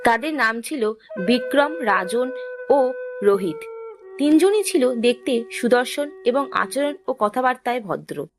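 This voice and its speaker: A woman narrating a fairy tale from a script as a storyteller, with music behind her. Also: a little high. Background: background music.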